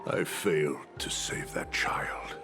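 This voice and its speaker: An actor playing a weary, courteous warrior in a video game, speaking formally and theatrically over music. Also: male voice, slow, natural voice. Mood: regretful. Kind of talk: dramatic video game voice acting.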